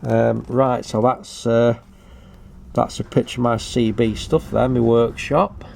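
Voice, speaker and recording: Older British man with creaky voice. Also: mid-range voice, flat delivery, a little slow, darkish tone, a little hiss or hum. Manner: hesitant, matter-of-fact. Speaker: hobbyist video presenter. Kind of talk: casual show-and-tell commentary.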